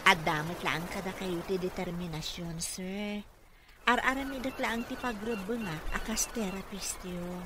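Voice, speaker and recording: A woman's voice: medium pitch, unhurried, lightly acted. An actress with an Ilocano accent playing a devoted, respectful female physical therapist in a radio drama. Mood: encouraging. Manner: earnest, respectful. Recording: noisy radio drama recording.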